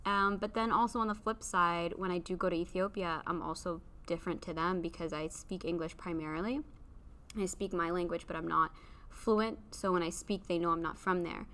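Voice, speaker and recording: A young woman from Canada speaks as a podcast guest in a slightly low voice. She talks openly and reflectively in casual conversation and laughs easily. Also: fairly even intonation, brisk, sharp tone.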